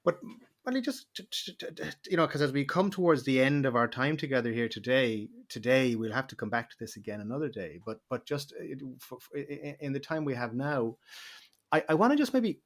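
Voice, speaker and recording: Male podcast host, mid-range voice, halting, repeats words over and over. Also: mid-range voice, lively intonation. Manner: stumbling, repeats words many times. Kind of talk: podcast interview.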